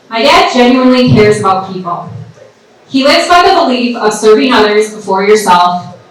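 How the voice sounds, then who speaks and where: A woman's voice: on the high side, fairly bright tone. A woman giving an earnest speech about her father, read from notes. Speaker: candidate's daughter giving a speech. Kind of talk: prepared speech read from paper. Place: large indoor event hall. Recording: echoey hall, mic from far off.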